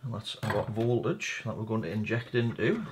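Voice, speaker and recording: A man's voice: on the low side, lively intonation, normal speed, a little hiss or hum. A man giving a casual hands-on repair walkthrough, informally explaining each step and thinking aloud. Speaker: hobbyist electronics repair presenter.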